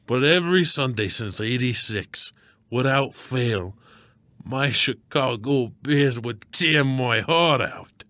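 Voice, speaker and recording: A man's voice: mid-range voice, a bit bright, no echo. A man playing a blustery, wisecracking radio producer and disillusioned sports fan in a scripted comedy skit, with slangy, melodramatic talk.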